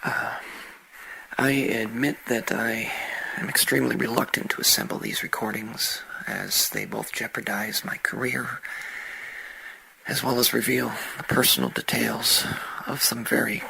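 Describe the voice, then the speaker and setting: A gravelly, solemn doctor. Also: male voice, mid-range voice, lively intonation, pushed and bright, heavily acted, rough recording. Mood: grave, reluctant. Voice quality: gravelly, rasping. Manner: solemn, deliberate, formal. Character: grave, reluctant male doctor recording a confession. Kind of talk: audio drama monologue.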